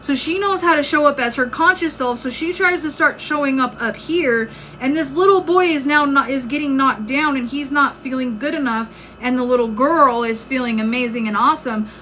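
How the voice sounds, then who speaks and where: A woman's voice: sits high, moderate pace, few pauses, sharp tone. A woman giving an informal, emphatic motivational talk on a live stream, conversational and often checking in with listeners, her voice starting to give out. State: losing her voice. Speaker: live-stream host and self-help coach. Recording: clearly noisy background.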